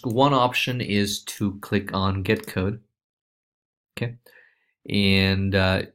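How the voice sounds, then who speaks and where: Casting: male tutorial presenter, lowish voice, informal and loose, walking viewers through on-screen steps. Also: fairly bright tone. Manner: informal, loose, repeats check-in tags like okay and right. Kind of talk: casual screencast walkthrough.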